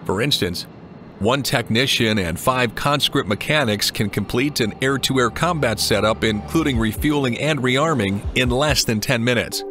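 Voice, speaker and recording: A man reading a scripted documentary narration in an even, measured way. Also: mid-range voice. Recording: voice-over with clear music behind.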